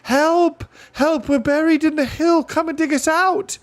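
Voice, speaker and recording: A man's voice: steady tone, moderate pace, a little mellow, natural voice. A man doing an eager young schoolgirl. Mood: yelling. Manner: high, shrill calling.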